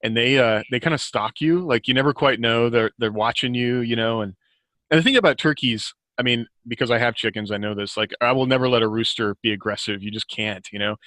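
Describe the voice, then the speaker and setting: A man's voice: medium pitch, a little fast, a bit bright, no echo. An American man chatting casually and telling anecdotes as a podcast guest, informal and often chuckling as he talks.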